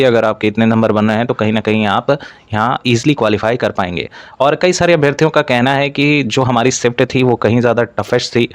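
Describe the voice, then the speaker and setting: Male explainer host, mid-pitched, informal, speaking straight to students, dry recording. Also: no room sound. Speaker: exam-news presenter for job aspirants. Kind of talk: informal explainer narration to camera-style audience.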